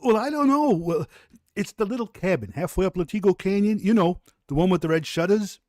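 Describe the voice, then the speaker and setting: A male actor plays a folksy, plain-spoken passerby in an old radio drama, flustered and halting as he reports trouble. His voice sits high and swings widely in pitch. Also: expressive, darkish tone, no echo, clean studio sound. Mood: urgent, puzzled. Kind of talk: vintage radio drama acting.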